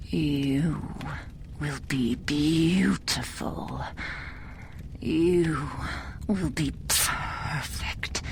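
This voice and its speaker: A woman playing an imperious, seductive and menacing vampire noblewoman in an ASMR roleplay, haughty and theatrical, with drawn-out phrasing. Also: low-pitched, heavily acted. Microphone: binaural microphone. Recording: close binaural mic, faint background noise.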